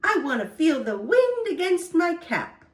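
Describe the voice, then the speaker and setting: A woman voicing a wild, excitable little boy acorn from a picture book, exclaiming playfully. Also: very high-pitched, measured pace, roomy sound, voice pushed up, heavily acted. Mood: eager.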